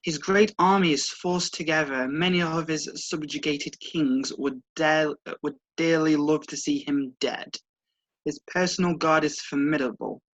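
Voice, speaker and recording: A performer reading the part of a wise old master calmly instructing a pupil in a story. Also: mid-range voice, lo-fi sound, close to an everyday voice. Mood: explanatory. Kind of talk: read-aloud story dialogue.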